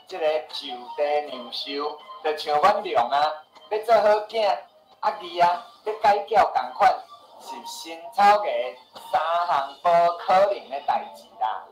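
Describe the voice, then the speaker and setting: A grumbling, skeptical Taiwanese house seller in a radio drama. His voice sits fairly high and he argues with scoffing exclamations. The room echoes and faint music plays behind. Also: animated, unhurried. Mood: dismissive. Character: skeptical, boastful, grumbling male house seller and neighbourhood boss. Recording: roomy echo with music behind.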